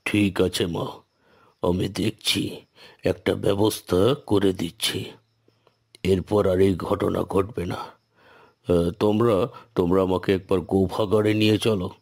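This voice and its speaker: Man acting a slow, low-voiced stern village holy man. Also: flat delivery, vocal fry, a little mellow, heavily acted. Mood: solemn. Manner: commanding, admonishing, solemn. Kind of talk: Bengali cartoon story dialogue.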